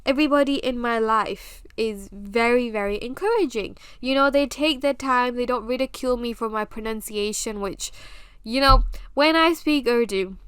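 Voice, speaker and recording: Animated young British woman. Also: on the high side, normal speed, clean tone, no echo. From England. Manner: animated, candid and self-mocking. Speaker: podcast host. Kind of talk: casual monologue.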